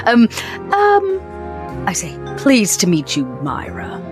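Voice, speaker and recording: A woman's voice: on the high side, huge pitch swings, slow pace. A woman playing a tabletop role-playing game, talking casually in a wry, offhand way. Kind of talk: casual table talk and roleplay. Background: soft background music.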